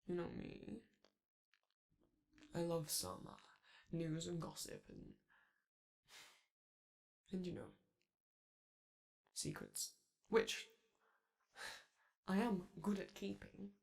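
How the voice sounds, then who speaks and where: Guy playing a glam male robot diva, sing-songy swoopy voice, gravelly edges, keeps saying darling. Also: fairly high pitch, wildly swooping pitch, drawn-out words, long pauses, darkish tone, heavily acted. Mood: coaxing, flirty. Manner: theatrical, coaxing, calls listener darling. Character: flamboyant glamorous male robot star, doting. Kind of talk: ASMR romantic roleplay.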